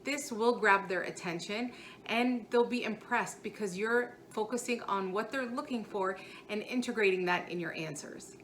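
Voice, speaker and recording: A woman's voice: mid-range voice, fairly bright tone. A woman hosting as a career coach, giving scripted, instructional advice straight to the viewer. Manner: instructional, addresses viewer directly. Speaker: career coach and video host.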